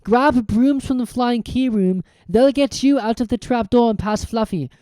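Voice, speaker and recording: A woman's voice: fairly high pitch, normal speed, dark tone, dry recording, pitched above the performer's own voice, lightly acted. A woman voicing a brave, worried young schoolboy wizard in a storybook reading, earnest and urgent.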